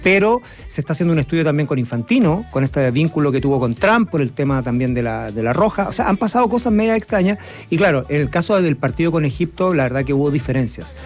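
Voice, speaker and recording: A man's voice: fairly high pitch, lively intonation, faint music behind. A man with a Chilean accent hosting a casual chat about football. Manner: chatty, storytelling, drawn-out phrasing. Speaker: host.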